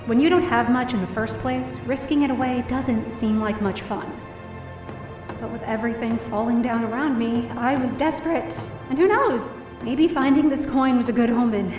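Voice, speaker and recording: A woman's voice: on the high side, echoey room, recorded from a distance, lightly acted. A woman playing a down-on-her-luck, distressed young woman narrating her own story in an audio drama. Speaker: lead actor in audio drama. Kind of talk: first-person dramatic narration.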